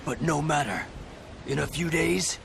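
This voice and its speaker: A man's voice: fairly high pitch, measured pace, vocal fry, background noise. An actor playing a harsh male soldier captain who commands a hunt, speaking in a barking, commanding way. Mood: cold. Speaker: commander of pursuers.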